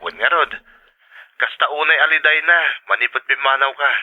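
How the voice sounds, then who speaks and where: Filipino husband back home, radio drama, thin phone-call sound, medium pitch, Filipino accent. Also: male voice, a little fast. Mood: caring, plaintive. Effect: phone filter.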